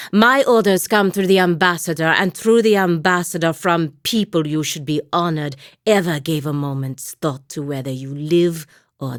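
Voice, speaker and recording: A woman's voice: deep voice, no echo. An actress playing a stern, dutiful and proud paladin woman in a video game, with a dry, sardonic and commanding manner. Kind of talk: video game character dialogue.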